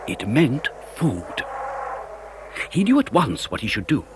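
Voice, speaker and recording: A man's voice: fairly high pitch, a little hiss or hum. A man narrating a children's story as dramatic scripted storytelling.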